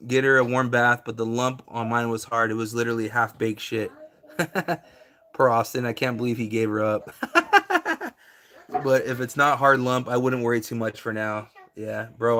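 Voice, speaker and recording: Man, live stream host, relaxed and chatty, lots of ums, mid-pitched voice. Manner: laid-back, chatty, many fillers, reads chat aloud and answers it. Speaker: reptile breeder hosting a live stream. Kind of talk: casual live stream chat.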